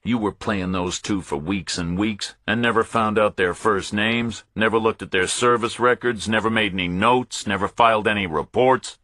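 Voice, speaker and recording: A cool, relentless investigator played by a man interrogates in a thriller audiobook. The voice is low and grainy with a hard, forceful edge. He speaks in short, deadpan, sardonic phrases. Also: normal speed, few pauses, dry recording, studio quality. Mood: cold, accusing.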